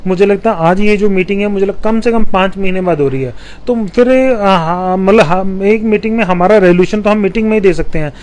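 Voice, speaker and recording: High-voiced man. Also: darkish tone. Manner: measured, explanatory, with restarts. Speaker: municipal councillor. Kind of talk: press interview answers.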